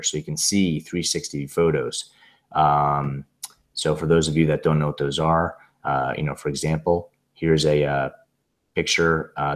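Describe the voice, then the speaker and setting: A man recovering from laryngitis, giving a casual, rambling tutorial over a video call with many fillers and restarts. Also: very deep voice. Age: adult with a young son. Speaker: presenter demonstrating software. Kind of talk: casual screen-share tutorial.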